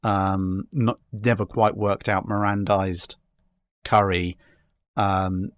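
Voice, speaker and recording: A man in a casual discussion, explaining his speculative ideas in a halting way with repeated words. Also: on the low side, little pitch movement, no room sound. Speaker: podcast guest.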